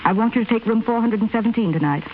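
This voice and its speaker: An actress playing a brisk, sensible, authoritative head nurse in a 1930s radio drama. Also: female voice, fairly high pitch, narrow, tinny audio, close to an everyday voice. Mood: firm, consoling. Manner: authoritative, crisp. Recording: scratchy, thin 1930s radio broadcast recording.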